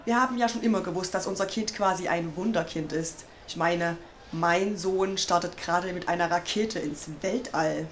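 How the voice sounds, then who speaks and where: A woman playing a proud, excitable mother in a comedic radio play. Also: on the low side, normal speed, slight background noise, natural voice.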